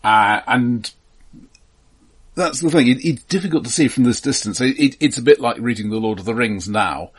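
A man explaining films in casual discussion, halting and often repeating words as he builds a point. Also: mid-range voice, husky. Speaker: film podcast host.

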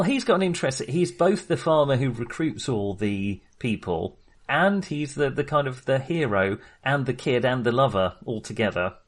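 An enthusiastic man co-hosting a casual film chat. His pitch swings around in a lively way, and his voice has a slightly rough, husky edge. He is jokey and often wanders off on tangents. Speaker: film podcast co-host.

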